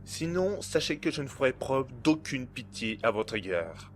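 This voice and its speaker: A man playing a cold, menacing blackmailer in an audio drama, speaking in a smooth, condescending and formal way. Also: mid-range voice, sing-song, moderate pace, a little hiss or hum, natural voice. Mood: coldly threatening. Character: cold, menacing male blackmailer and hacker, smugly in control. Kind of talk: amateur audio drama acting.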